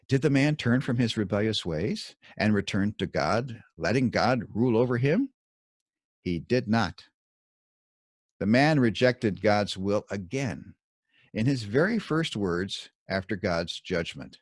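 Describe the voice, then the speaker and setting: A man with a fairly low voice reads a scripted commentary on scripture. His delivery is measured and emphatic, with very varied intonation. The recording is dry and close, with little room sound. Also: lively intonation, no echo. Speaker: author reading own Bible commentary.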